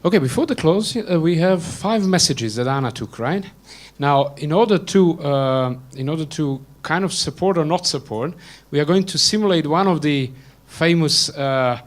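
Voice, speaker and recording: Brisk male panel moderator. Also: fairly high pitch. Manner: brisk, calls on speakers by name.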